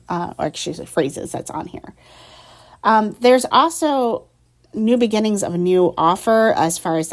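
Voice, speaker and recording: A woman with a slightly low voice and the sniffles talks through a tarot reading in a casual, unscripted way. She is blunt and emphatic, swears offhandedly, and keeps checking in with "okay?". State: has the sniffles. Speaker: tarot reader.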